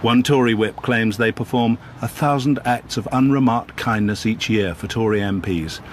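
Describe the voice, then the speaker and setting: A man's voice: lowish voice, moderate pace, a little hiss or hum. A man narrating a scripted television political report. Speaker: documentary narrator. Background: loud background music.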